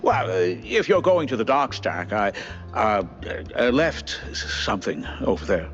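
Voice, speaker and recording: A man voicing a nervous, bumbling, evasive schemer in a cartoon game, stammering and halting. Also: fairly low pitch, big pitch swings, fairly bright tone, lo-fi sound, faint music behind.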